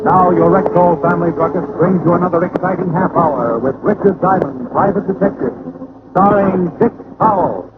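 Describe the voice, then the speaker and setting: A man's voice: sits high, normal speed, loud music behind the voice. A man giving formal, declamatory sponsor introductions in vintage radio announcer style. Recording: very noisy, phone-like old radio recording.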